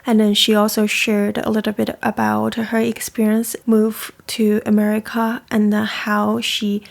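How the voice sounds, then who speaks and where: A woman's voice: medium pitch, monotone, unhurried, vocal fry. A young woman from China, talking casually and thoughtfully as the host of a coffee chat. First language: Chinese. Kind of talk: casual reflective talk.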